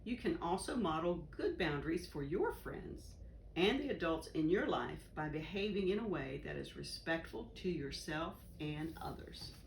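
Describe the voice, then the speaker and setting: Low-pitched, lively woman reading aloud. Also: moderate pace, creaky. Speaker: volunteer story reader. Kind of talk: read-aloud of a children's educational book. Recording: roomy echo, faint background noise.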